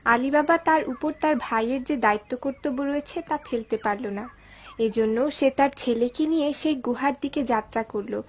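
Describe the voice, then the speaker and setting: Female cartoon narrator: high, husky voice, scripted storybook delivery.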